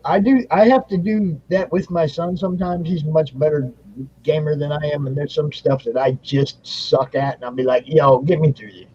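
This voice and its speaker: A man's voice: on the high side, normal speed, warm tone. A man in his thirties on a casual panel chat, bantering playfully in slangy speech. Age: 30s. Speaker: convention panel guest. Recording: husky voice on a dull lo-fi mic.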